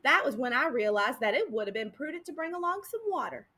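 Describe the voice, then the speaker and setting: A woman plays a boastful, none-too-bright cowboy sheriff from a storybook. The voice is high and bright and swings widely in pitch. The American Old West talk is drawled and folksy, with every line played up as a tall tale. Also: animated, quick pace, full character voice. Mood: folksy storytelling.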